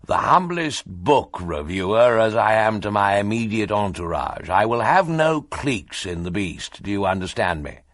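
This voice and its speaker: A man voicing a pompous, commanding elderly press baron who speaks in a booming, imperious way. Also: lively intonation, normal speed, hardly any pauses, fairly bright tone, no room sound, studio quality.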